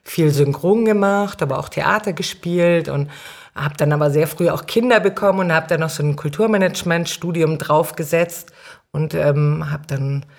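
Low-voiced woman explaining informally. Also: normal speed, vocal fry. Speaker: podcast guest, city councillor and cultural manager. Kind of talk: conversational interview answers.

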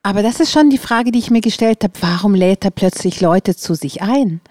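A woman's voice: medium pitch, animated, moderate pace, mellow, no echo. A woman hosting a podcast and interviewing a guest in a conversational style.

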